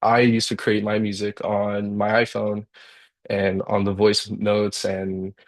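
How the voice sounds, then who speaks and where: A young man from Colombia, a guitarist and songwriter, talking casually in a workshop over a video call and pausing often with fillers and restarts. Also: fairly low pitch, completely flat delivery. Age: young adult. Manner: halting, many fillers and restarts.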